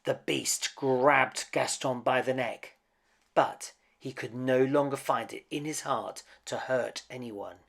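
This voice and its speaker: A man's voice: mid-range voice, slow pace, gravelly, fairly bright tone. A man reading a children's picture book aloud as a dramatic storyteller. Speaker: storybook reader.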